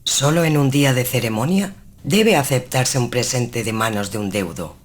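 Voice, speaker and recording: A woman's voice: very deep voice, normal speed. Casting: woman as cold, coyly scheming young noblewoman, gothic audio drama, formal and haughty delivery. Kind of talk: dramatised gothic tale acting.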